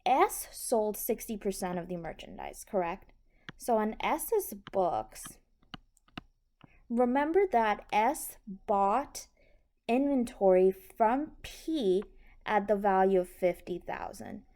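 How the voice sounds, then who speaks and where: A woman's voice: mid-range voice, animated, slow, mellow. A woman instructor patiently explaining a tutorial step by step, often checking that the listener is following. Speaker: accounting instructor.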